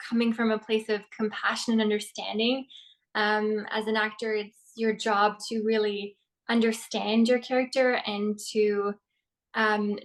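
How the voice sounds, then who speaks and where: Measured actress, flat tone. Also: female voice, mid-range voice, low-quality recording. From Norway. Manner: thoughtful and measured, with frequent ums. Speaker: actress, interview guest. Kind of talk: casual interview answers.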